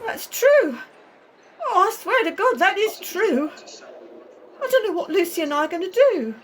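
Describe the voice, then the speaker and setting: A woman's voice: very high-pitched, very animated, normal speed, a little hiss or hum. A woman acting a frightened young mother, pleading and distressed, in an amateur radio play. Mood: distraught, worried.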